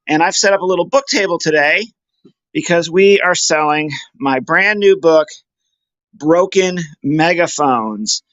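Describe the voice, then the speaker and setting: A man's voice: on the high side, unhurried, fairly bright tone, dry recording. A man speaking casually and effusively as he gives a walking video tour and promotes his poetry, recorded on a handheld camera. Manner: enthusiastic, effusive. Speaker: poet promoting his book. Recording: dull lo-fi handheld camera audio.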